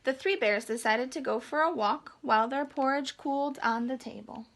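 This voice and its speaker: A woman's voice: sits high, normal speed, fairly bright tone. A woman reading a fairy tale aloud to young children, expressively and with simple explanations. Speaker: children's storytime reader.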